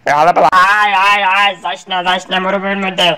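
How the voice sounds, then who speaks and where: A forceful, slightly high cartoon soldier voiced by a man, rough and impatient. He barks and curses his way through the comic dub with a hard, bright edge. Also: level tone, fast. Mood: alarmed. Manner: barking, gruff, cursing.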